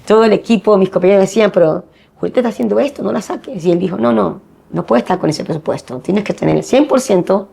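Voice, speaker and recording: A woman's voice: medium pitch, fast, gravelly, warm tone, husky. A middle-aged woman from Ecuador, a missionary guest giving earnest personal testimony and acting out the dialogue she quotes.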